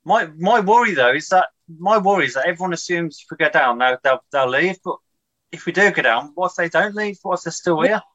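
A man's voice: sits high, lively intonation, brisk, sharp tone, dry recording, dull audio. A man on a panel chatting casually about football on a video call, restarting his sentences and chuckling. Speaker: podcast panelist. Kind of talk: casual football fan chat.

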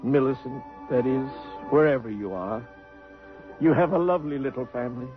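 An actor playing a genteel, gently teasing old man who lodges with a family, full of dry quips and courtly phrasing. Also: medium pitch, normal speed, music in the background, close to an everyday voice. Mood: wry. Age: older. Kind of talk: 1950s radio drama acting. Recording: muffled old radio broadcast.

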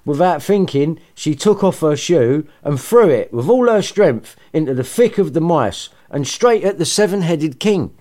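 A man's voice: on the high side, expressive, measured pace, hardly any pauses, mellow. A man reading a children's story aloud and breaking off for sweary, sarcastic comments. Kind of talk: comic storybook reading with asides.

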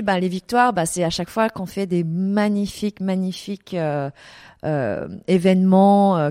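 A woman's voice: fairly low pitch, dark tone, no echo, studio quality. A middle-aged woman from Vietnam answering interview questions about her events business, hesitating often with fillers and restarts. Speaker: desert events business owner.

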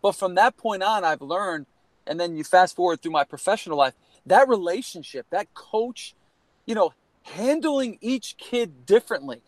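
A man's voice: sits high, lively intonation, normal speed, no echo. Earnest, rambling man from Connecticut. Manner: earnest, rambling, frequent restarts and "you know". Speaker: guest, former college baseball player. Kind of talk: candid storytelling in a podcast conversation.

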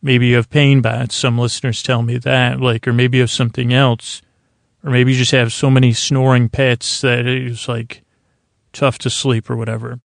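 A man with a creaky voice hosting a sleep podcast, talking in a meandering, halting, whimsical monologue that circles and over-describes. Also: mid-range voice, little pitch movement, no echo, clean studio sound. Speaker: podcast host. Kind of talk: rambling bedtime monologue to help listeners sleep.

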